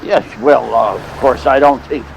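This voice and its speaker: A man's voice: mid-range voice, a little slow, vocal fry, poor audio. A man playing a sentimental, wheedling theatrical agent who tells his story in an old-time radio drama. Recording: noticeable background noise.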